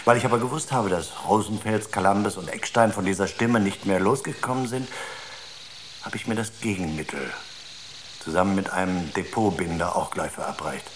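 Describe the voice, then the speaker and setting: A man's voice: low-pitched, moderate pace, natural voice. A man playing an earnest psychologist on a space crew in a naturalistic radio drama, explaining things in a searching way. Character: earnest male space-crew psychologist, absorbed in his experiment. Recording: noisy radio drama recording.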